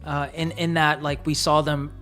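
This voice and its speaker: A woman's voice: low voice, level tone, moderate pace, fairly bright tone, faint music behind. A woman from the United States co-hosting a casual chat, speaking reflectively in long, searching sentences full of fillers. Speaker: co-host.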